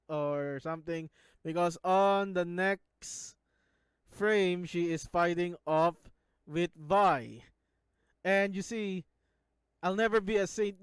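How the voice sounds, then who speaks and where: A man's voice: high voice, clear, resonant tone, dry recording. A man from the Philippines with a Filipino accent, talking casually in a video as he analyzes scenes, often stopping to restart words. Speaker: YouTuber analyzing a show.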